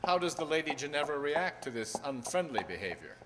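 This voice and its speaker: An actor playing a shrewd, dryly superior gentleman detective in a radio play. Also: a little high, very animated, a little fast. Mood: probing. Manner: crisp, probing, wry. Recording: noisy, echoey hall recording.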